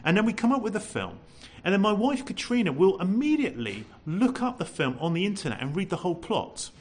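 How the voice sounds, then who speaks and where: Man, preacher, expressive and loud, rises and falls a lot. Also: high-pitched, fairly quick, breathy edge. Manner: lively, animated preaching with rhetorical questions; says he has a loud voice. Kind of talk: sermon.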